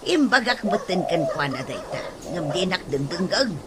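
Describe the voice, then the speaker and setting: A woman's voice: fairly low pitch, very animated, moderate pace. A woman playing a nagging, exasperated mother in an Ilocano radio comedy drama, exclaiming and scolding with an Ilocano accent. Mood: weary, complaining. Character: nagging, exasperated mother of a stingy grown son. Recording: noisy, birdsong behind.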